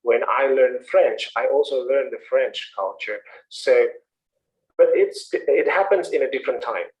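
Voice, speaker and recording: A man's voice: mid-range voice, unhurried, darkish tone, rough recording. Indonesian-accented male instructor, explains steadily and encourages, mid-range voice. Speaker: English instructor. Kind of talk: answering questions in an online class. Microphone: online video call.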